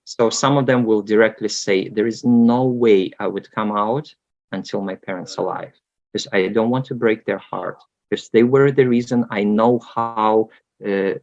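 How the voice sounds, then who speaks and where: A man from Moldova speaks English with a Russian accent, his low voice gravelly with fry. He talks in halting bursts, full of fillers and restarts. The sound is dull and lo-fi. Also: a little mellow. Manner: hesitant, many fillers and restarts. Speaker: guest researcher. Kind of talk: casual interview talk.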